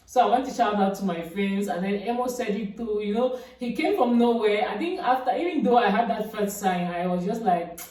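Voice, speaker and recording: Young woman, vlogger, mellow big voice, rambling chatty delivery, recorded far from the mic in a very echoey room. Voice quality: big, deep-sounding voice. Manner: chatty, repeats herself, fillers like "I mean". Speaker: YouTuber. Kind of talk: casual get-to-know-me vlog.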